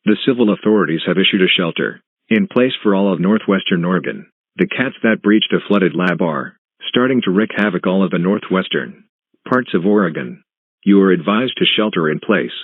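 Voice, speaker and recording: A man reading a scripted emergency broadcast announcement in a formal, flat, instructional manner. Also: deep voice, a little fast, breathy edge. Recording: tinny phone-like band, echoing.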